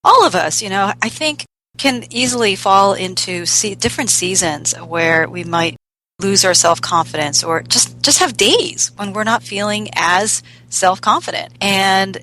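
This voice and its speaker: A woman's voice: mid-range voice, a little slow, pushed and bright, slight background noise, rough recording. A middle-aged woman raised in the United States, answering conversationally as a business coach being interviewed. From United States, born in South Korea and immigrated at four. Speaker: business coach, podcast guest.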